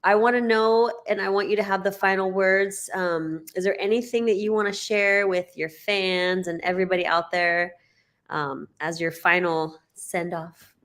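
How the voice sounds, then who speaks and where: Woman host, mid-pitched, upbeat and effusive, casual interview chat. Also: steady tone, normal speed, a bit bright. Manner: upbeat, effusive, laughs easily.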